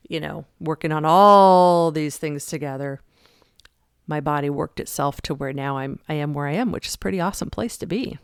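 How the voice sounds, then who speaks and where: A woman's voice: deep voice, creaky, clear, resonant tone, no room sound, studio quality. A middle-aged woman hosting a fitness podcast, talking in a chatty, emphatic way and laughing often. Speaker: podcast host and fitness coach. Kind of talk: casual motivational talk.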